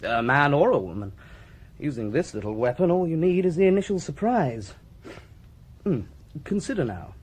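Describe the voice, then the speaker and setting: An actor playing a British forensic doctor in a radio drama, lecturing with precise, self-satisfied relish. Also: male voice, a little high, sing-song, normal speed, long pauses, slightly rough. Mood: pleased, instructive. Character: brisk, relishing male forensic doctor, theatrically pedantic.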